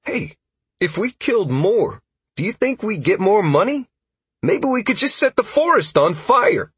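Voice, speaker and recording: A man voicing an excitable, reckless and cheerful male adventurer with eager energy in an audiobook. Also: very high-pitched, takes it slowly, husky, no echo, pitched above the performer's own voice, exaggerated voice. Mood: excited.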